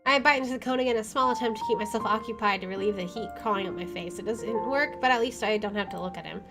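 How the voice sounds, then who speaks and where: A woman's voice: medium pitch, quick pace. A woman doing a let's play, reading game narration aloud and reacting expressively, with game music behind her.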